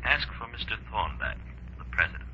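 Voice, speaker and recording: Authoritative bank president, low-pitched, courteous, businesslike, 1930s radio drama, hissy sound. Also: male voice, sing-song, a little fast, pushed and bright, lo-fi sound, full character voice. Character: polite, authoritative bank president secretly heading a racket.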